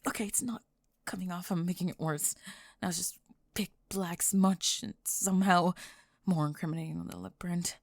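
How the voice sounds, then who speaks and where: A woman's voice: on the low side, fairly even intonation, normal speed, vocal fry, no echo. Woman voicing a young goth bully, flustered, secretly smitten, breathless rambling, stammering, ASMR roleplay, close dry sound. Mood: mortified. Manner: rambling, stammering, breathless panicked over-explaining.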